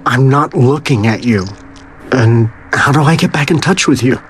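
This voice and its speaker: Man acting a guarded young game hero, mid-pitched. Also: moderate pace, a little hiss or hum, close to an everyday voice. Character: guarded, plain-spoken young male escaped slave hero.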